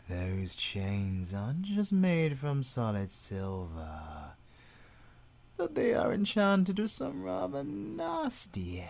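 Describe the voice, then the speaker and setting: A man's voice: sits low, vocal fry, husky, heavily acted. A British man playing a sadistic, gloating male werewolf villain with a silky, menacing delivery that drops into whispers. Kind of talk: audio roleplay acting.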